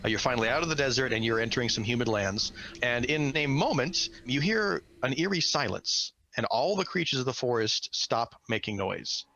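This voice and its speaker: A man's voice: mid-range voice, animated, fairly quick, poor audio. A man acting as game master, narrating a tabletop fantasy game with dramatic storytelling and vocal sound effects. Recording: loud music under the voice. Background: loud music.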